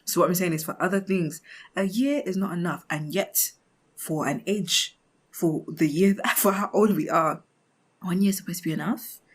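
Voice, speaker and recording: A woman's voice: fairly low pitch, normal speed, breathy edge. A woman in her twenties hosting a casual podcast monologue, chatting expressively and acting out imagined remarks in a giggly, conversational way. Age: 20s. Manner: giggly, often laughing mid-thought. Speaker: podcast host.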